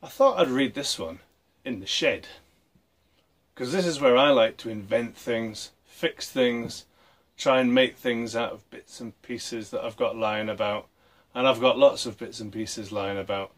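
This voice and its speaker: A man reading a story aloud for children, with expressive, deliberate phrasing. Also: medium pitch. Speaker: storybook reader and presenter.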